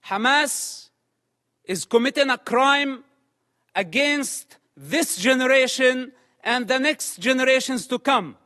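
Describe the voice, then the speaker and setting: A man making a public statement in an emphatic, measured and deliberate manner. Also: extremely high pitch, bright, forceful tone.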